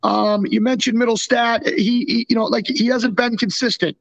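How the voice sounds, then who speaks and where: A man's voice: very high voice, fairly even intonation, moderate pace, vocal fry, fairly bright tone. A North American man co-hosting a sports podcast, chatting casually in an informal, buddy-like way. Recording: dull, lo-fi remote audio.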